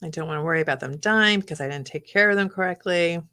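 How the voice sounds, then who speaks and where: A woman's voice: lowish voice, fast, bright, forceful tone. A woman hosting a live craft stream, chatting casually as she works, sometimes whispering to her artwork, her voice a bit worn. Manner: chatty, talks to her artwork, drops to whispers while working. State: losing her voice, clearing throat. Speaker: craft channel host.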